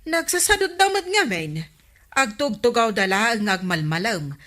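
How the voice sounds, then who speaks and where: A woman's voice: fairly high pitch, sing-song, moderate pace, a bit bright. A woman with an Ilocano accent playing a scolding village councilor and storekeeper in a radio comedy drama. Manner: nagging, emphatic.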